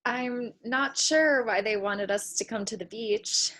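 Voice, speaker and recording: A woman's voice: a little high, a little slow, fairly bright tone, rough recording. A woman playing a guarded, candid young woman on an awkward date in a play table read, speaking frankly and with measure.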